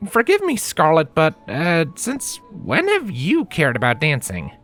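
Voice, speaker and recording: A woman playing a bookish young stallion in an audio story, nervous and socially awkward. The pitch leaps wildly as the hesitant lines come out, on the lower side for a woman, over faint music. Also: huge pitch swings, measured pace, full character voice. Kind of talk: dramatised fan fiction audio reading.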